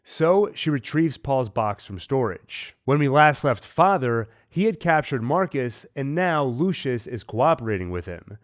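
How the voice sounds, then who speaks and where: A man hosting a TV recap, talking with a cold in a measured, analytical way. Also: mid-range voice, big pitch swings. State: has a cold. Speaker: host. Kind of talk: TV episode recap and theories.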